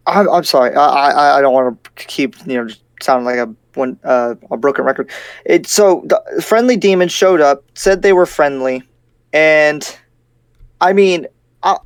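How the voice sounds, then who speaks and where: A man playing a skeptical, cautious demon slayer in an improvised roleplay, speaking in a halting, incredulous way. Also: a little high, no room sound, close to an everyday voice. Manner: halting, incredulous, repeats himself. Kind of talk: improvised roleplay acting in casual speech.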